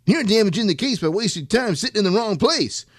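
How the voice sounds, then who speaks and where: A man's voice: sits high, lively intonation, brisk. A man voicing a whiny, mocking young sidekick in a comedy audio drama.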